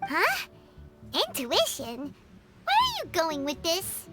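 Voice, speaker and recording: A woman voicing a tiny, excitable, childlike fairy girl in an anime video game, squeaky and dramatic. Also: slow pace, heavily acted. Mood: puzzled. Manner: exclaiming, dramatic. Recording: game music loud behind voice.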